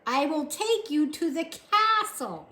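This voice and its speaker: A woman voicing a dutiful male soldier who speaks formally and shouts slowly to be understood, recorded in an echoey room. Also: high-pitched, very animated, pitched above the performer's own voice, heavily acted. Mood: patient.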